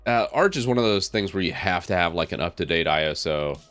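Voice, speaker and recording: A man, slightly raspy from a head cold, talks casually on a live stream, thinking aloud as he works with lots of fillers and small laughs. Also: low-pitched, lively intonation, a little fast, fairly bright tone, faint music behind. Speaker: tech streamer. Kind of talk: casual live stream talk while working on screen.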